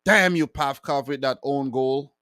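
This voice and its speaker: A man's voice: medium pitch, unhurried, sharp tone, dry recording, clean studio sound. A man hosting a football live stream, talking in a loose, joking way with frequent restarts. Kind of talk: casual live-stream chat.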